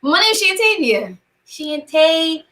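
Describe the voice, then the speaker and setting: A woman joining a live stream as a guest, chatting in a lively, playful way. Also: very high-pitched, measured pace. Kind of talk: casual live chat.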